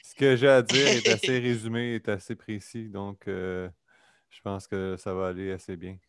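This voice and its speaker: A man with a Québécois French accent giving an earnest public statement over a call, steady with frequent hesitations. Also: on the low side, normal speed, slight background noise. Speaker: public hearing participant. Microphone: video or phone call.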